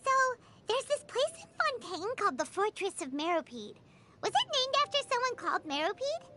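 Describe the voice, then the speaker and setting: A woman playing a tiny, excitable, childlike floating companion in animated video game dialogue, squeaky and easily indignant. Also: fairly quick, loud music behind the voice. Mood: chatty, curious. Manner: speaks of herself in third person, excitable.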